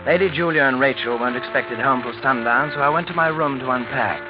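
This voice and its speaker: A man playing a well-bred, easygoing young English gentleman, in old radio drama dialogue and narration. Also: mid-range voice, fast talker, lightly acted. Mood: reflective narration. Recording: dull, noisy old radio recording.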